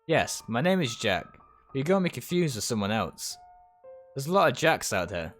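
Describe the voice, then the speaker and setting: A man's voice: mid-range voice, very animated, normal speed, fairly bright tone, faint music behind. A man voicing a troubled, guarded young amnesiac in a visual novel, speaking plainly and hesitantly.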